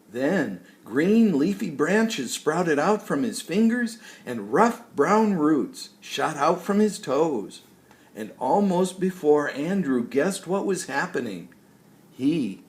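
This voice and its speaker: A man's voice: mid-range voice, darkish tone. An older man narrating a children's story expressively, as a storyteller.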